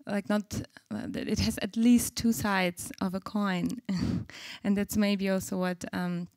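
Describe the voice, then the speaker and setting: Gravelly-voiced woman moderating a panel. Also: medium pitch, steady tone, slow pace, darkish tone, no echo. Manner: hesitant, many fillers and restarts. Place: event stage.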